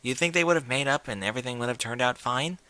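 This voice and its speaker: A man's voice: mid-range voice, fast talker, sharp tone, clear, resonant tone, no echo, lightly acted. A man voicing a brash, cocky young female pegasus pony in a story, talking in a blunt, slangy way. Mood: casual. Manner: blunt, slangy, casual delivery.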